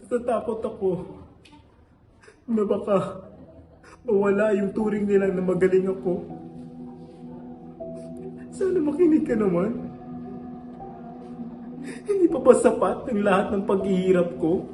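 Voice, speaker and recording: Young man acting as a struggling student begging to be heard, high voice, echoey room, music underneath. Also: lively intonation, unhurried, long pauses. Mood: pleading. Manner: earnest, pleading. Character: frightened, overworked young male student. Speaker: student character. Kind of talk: amateur stage acting.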